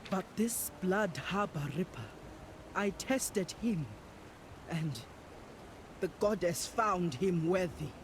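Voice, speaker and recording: Proud, slow-spoken warrior priestess. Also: female voice, fairly low pitch, expressive, background noise. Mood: troubled, solemn. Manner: measured, imperious, solemn. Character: proud, commanding sea-goddess priestess, stern warrior. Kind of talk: video game cutscene acting. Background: music.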